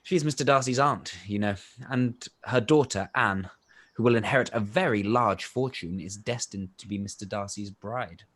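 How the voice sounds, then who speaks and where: A man playing an ingratiating young army officer in a period radio play, polite, measured and confiding. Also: lowish voice, a bit bright.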